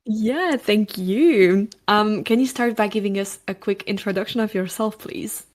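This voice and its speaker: A woman hosting a podcast interview, friendly and upbeat, reacting with quick short affirmations. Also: mid-range voice. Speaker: podcast host. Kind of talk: casual interview conversation.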